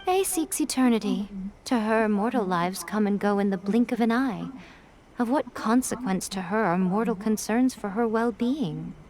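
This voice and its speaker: A woman's voice: mid-range voice, normal speed, background noise, lightly acted. A woman playing a sly, poised, teasing shrine priestess in a video game, with coy and eloquent phrasing. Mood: cool, detached. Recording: music playing behind.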